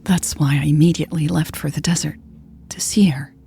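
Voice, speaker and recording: A woman reader voicing a guilty, tearful woman who pleads with her lover softly and hesitantly in a romantic audio story. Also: sits low, steady tone, a little fast, slight background noise. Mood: soft, pleading. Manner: soft, hesitant, breathy confessing. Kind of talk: audio fiction dialogue reading.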